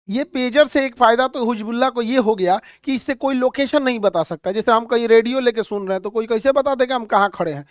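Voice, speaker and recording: A man's voice: high-pitched, no room sound. Guy teaching current affairs like hes telling a story, repeats stuff for emphasis, really dry sound. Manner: colloquial, storytelling, repeats key phrases for emphasis. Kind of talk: explanatory current-affairs lecture.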